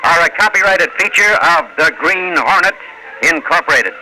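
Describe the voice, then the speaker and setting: Male vintage radio narrator, very forceful and bright, scripted delivery, light hiss. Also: on the high side, animated, moderate pace, poor audio. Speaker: announcer and narrator. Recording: hissy old radio broadcast.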